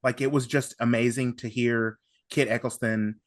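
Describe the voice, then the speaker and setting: A man speaks enthusiastically as a guest in a casual fan discussion. His pitch is average and the sound is dry. He rambles in a halting way, often circling back to reword things. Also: steady tone, no echo. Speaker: podcast guest.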